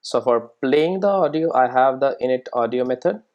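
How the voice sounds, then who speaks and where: A software tutorial presenter explaining things step by step in an informal way, often checking in with the listener. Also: medium pitch, expressive, normal speed, lo-fi sound.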